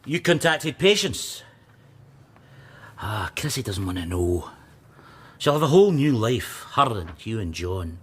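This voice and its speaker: An actor playing a defensive, evasive ex-convict with a husky voice in a radio drama. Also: male voice, lowish voice, big pitch swings, measured pace, pauses often, fairly bright tone, heavily acted. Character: defensive, evasive Scottish man fresh from jail.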